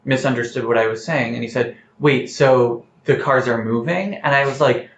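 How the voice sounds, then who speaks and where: A man's voice: mid-range voice, normal speed. Articulate man in a roomy, dull recording. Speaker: playwright guest. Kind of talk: conversational interview answers, anecdotal storytelling. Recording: roomy, slightly dull sound with faint noise.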